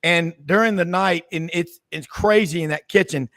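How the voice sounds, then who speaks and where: Male sports radio host, high-pitched, dry mic, chatty storyteller. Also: normal speed, a bit bright, no room sound. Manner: chatty storyteller, laughs easily. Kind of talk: casual radio chat.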